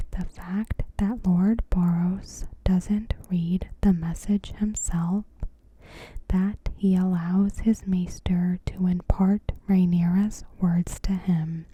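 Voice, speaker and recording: A woman whispering softly in an ASMR style, reading scripted commentary in a hushed, unhurried, breathy voice. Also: on the low side. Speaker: ASMR host.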